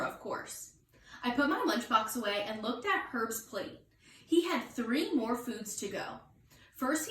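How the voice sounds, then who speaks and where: A woman with a fairly high voice reads a children's story aloud as a teacher would. Her delivery is expressive. She sounds a little away from the mic in an echoey room. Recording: roomy echo, distant mic.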